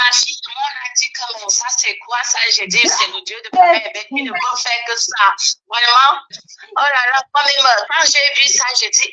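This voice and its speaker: Female church member testifying, highish and piercingly bright voice, fervent, halting, unscripted, with repeated words. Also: rough recording. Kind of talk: spontaneous personal testimony.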